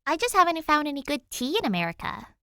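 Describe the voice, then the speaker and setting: A woman plays a young girl in a storybook, bright-spirited, cheeky and earnest. She chats with open enthusiasm, a little raised in pitch, in a dry recording. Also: very animated, fast, no echo, full character voice. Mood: eager, chatty.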